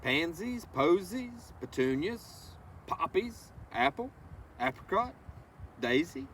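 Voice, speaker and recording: Male children's read-along presenter, unhurried and very animated, folksy direct address, recorded a little far from the mic. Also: fairly high pitch. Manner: instructive, addresses listeners directly with folksy asides and questions. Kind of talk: reading a picture book aloud with asides to children. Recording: distant microphone with faint background noise.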